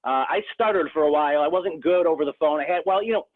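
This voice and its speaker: A real estate agent from the Rochester, New York area, talking earnestly in a casual interview, with many restarts. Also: male voice, fairly high pitch, fast talker. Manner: earnest, frequent restarts and fillers. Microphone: phone. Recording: tinny phone line.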